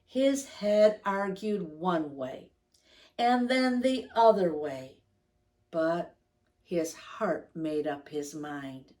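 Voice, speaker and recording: A woman storyteller reading a picture book expressively to young children, stretching words for emphasis and pausing to ask them questions. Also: fairly low pitch, animated, a little mellow.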